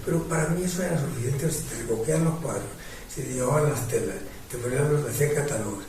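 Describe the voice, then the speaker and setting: An older man, a painter, reminiscing in a relaxed interview with occasional laughs. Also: mid-range voice, slight background noise. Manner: reminiscing, unhurried, warm laughs. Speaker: guest painter. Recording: distant mic with heavy echo.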